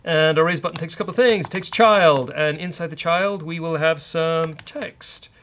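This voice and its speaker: A man's voice: on the high side, moderate pace, a bit bright, a little hiss or hum. A man hosting a casual coding show, bantering with a co-host and thinking aloud as he works.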